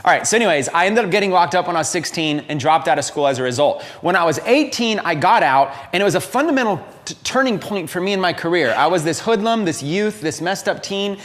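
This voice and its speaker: A man's voice: high-pitched, expressive, fast, fairly bright tone. A man in his late thirties giving a candid, unscripted motivational talk, openly confessing his past to viewers. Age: 30s. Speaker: entrepreneur and coach.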